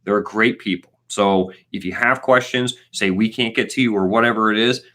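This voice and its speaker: A man in his thirties co-hosting a casual live question-and-answer chat, talking in an animated, emphatic, informal way. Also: lowish voice, moderate pace. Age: 30s. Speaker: co-host of a trade channel.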